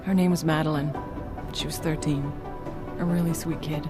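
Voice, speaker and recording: A woman plays a soft-spoken therapist with a wry edge, shaken but resilient. Her voice sits fairly low in a video game cutscene, with loud music behind it. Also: fairly even intonation, moderate pace, lightly acted. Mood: haunted.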